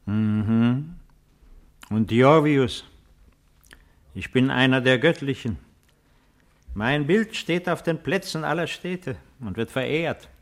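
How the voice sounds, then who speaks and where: An aging Roman emperor who has lost his power, proud and solitary. He speaks slowly and gravely, with stately weight, in the manner of 1950s German radio drama. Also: mid-range voice. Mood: grave, questioning. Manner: solemn, measured, stately.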